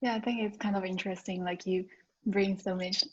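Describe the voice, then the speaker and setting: A woman's voice: mid-range voice, fairly even intonation, brisk, a little hiss or hum. A woman hosting a casual discussion among friends. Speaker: host. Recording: slightly dull lo-fi sound.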